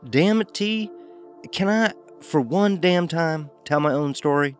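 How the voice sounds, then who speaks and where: A man's voice: on the high side, unhurried, faint music behind, lightly acted. An actor playing a weary, regretful man with terse, plain-spoken talk in an audio drama. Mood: exasperated.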